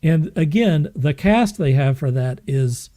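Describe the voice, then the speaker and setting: A man's voice: fairly high pitch, expressive, measured pace, warm tone. A man chatting casually and enthusiastically as a podcast panellist. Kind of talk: casual chat.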